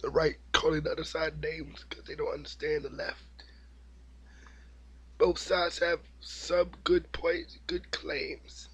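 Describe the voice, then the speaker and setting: A man with a cold and a slightly raspy voice, giving an opinionated, repetitive monologue as the host of his own video. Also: a little high, little pitch movement, slow. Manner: opinionated, preachy, repeats himself. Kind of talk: unscripted monologue vlog.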